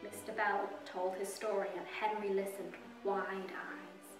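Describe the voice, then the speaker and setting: A woman reading a children's story aloud as storyteller, expressive and deliberate, with drawn-out emphasis. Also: medium pitch, distant mic. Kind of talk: scripted storybook narration for young children. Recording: roomy echo, music behind. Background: soft music.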